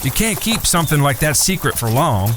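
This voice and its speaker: An actor playing a wary, loyal younger outlaw brother in a Western audio drama, folksy and plain-spoken, with an American Southern accent. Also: male voice, on the high side, big pitch swings, brisk, noisy background. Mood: curious.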